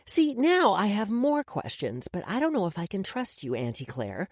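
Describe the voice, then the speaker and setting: A woman's voice: medium pitch, huge pitch swings, moderate pace, no room sound, studio quality, voice pushed up. A woman playing a menacing, mocking, commanding woman in charge, as audiobook dialogue. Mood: cold, mocking.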